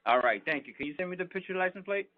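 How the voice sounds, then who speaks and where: Fast-talking middle-aged man, tinny intercom. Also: high voice, expressive. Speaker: father questioning his daughter's date over an intercom. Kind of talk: casual conversation. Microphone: doorbell intercom call. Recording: phone-like doorbell intercom audio, clear background noise.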